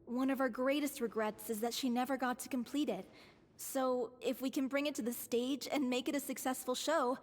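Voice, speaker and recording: A woman's voice: sits high, fairly even intonation, normal speed, natural voice. A woman playing an earnest, wistful young theatre troupe member in a video game, explaining sincerely. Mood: wistful, hopeful. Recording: long reverb, music underneath.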